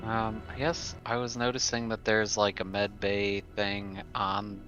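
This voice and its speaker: Bright-voiced man playing a tabletop game. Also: fairly low pitch, monotone, measured pace, muffled recording, faint music behind. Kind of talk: casual in-game chat.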